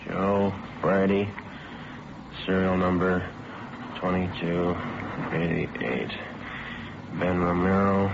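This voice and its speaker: An American actor playing a terse, businesslike police detective in a 1950s radio drama, clipped and deadpan. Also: male voice, sits low. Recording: muffled noisy vintage radio recording.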